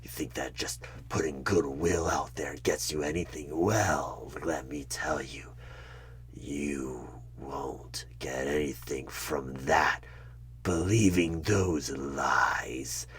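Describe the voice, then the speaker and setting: Evil demon tempter for horror asmr, super deep n husky, half whispering but harsh edged, creepy slow coaxing. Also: male voice, steady tone, full character voice. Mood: insistent, menacing. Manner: slow, menacing, coaxing, with sudden loud bursts. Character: sinister devilish tempter bargaining for a soul. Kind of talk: horror ASMR one-sided roleplay.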